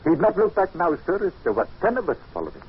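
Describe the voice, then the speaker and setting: A man's voice: sits high, wildly swooping pitch, quick pace. A man acting a grave, foreboding servant in an old time radio drama, deferential but cryptic. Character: grave, foreboding Scottish male servant McJobson. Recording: dull, noisy old radio recording.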